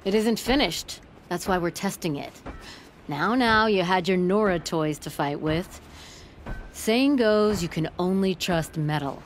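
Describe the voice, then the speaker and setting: Actress as a gruff cocky forge woman in a video game, slightly low voice, proud n teasing, bit of background noise. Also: unhurried, lightly acted. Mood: wry, proud. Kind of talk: video game character acting.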